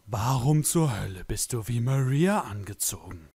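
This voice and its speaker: A man's voice: medium pitch, lively intonation, normal speed, warm tone, slightly rough, no room sound, clean studio sound. A man voicing a sullen, menacing cartoon hedgehog with a short fuse, sarcastic and theatrical. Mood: cold, irritated. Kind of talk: cartoon fandub.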